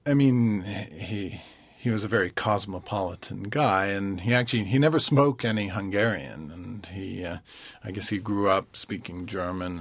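A man, a concert pianist, answering interview questions thoughtfully and pausing often to find his words. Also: sits low, creaky, slightly rough. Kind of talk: relaxed radio interview.

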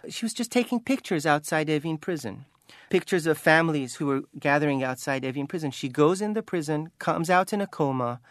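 A man's voice: fairly high pitch, animated, fairly quick, dry recording, clean studio sound. An Iranian-American man, an author, speaking earnestly and passionately in an interview, often restarting and saying "you know.". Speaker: author and guest. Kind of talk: impassioned interview talk.

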